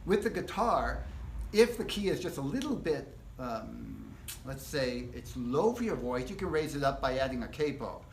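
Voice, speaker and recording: A man teaching a casual live guitar workshop, explaining things step by step in a relaxed, rambling way. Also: medium pitch, moderate pace, gravelly, slight background noise. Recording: echoey room.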